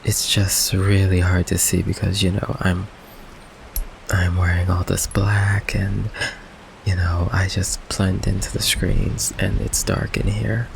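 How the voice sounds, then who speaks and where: A man acts as a nervous goth vampire boyfriend in an intimate ASMR roleplay. His voice is very low and unhurried, full of stammers and evasions, yet affectionate. Rain runs clearly behind him. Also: little pitch movement, a little hiss or hum, full character voice, rough recording. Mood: defensive, flustered. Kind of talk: ASMR roleplay, intimate one-sided conversation. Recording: rain ambience behind close voice.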